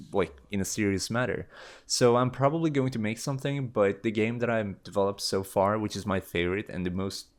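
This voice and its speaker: A young man in his late teens with a very low voice, chatting through viewer questions. His talk rambles loosely, full of ums and restarts. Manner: rambling, with many fillers and restarts. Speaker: YouTube creator. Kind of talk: casual Q&A chat.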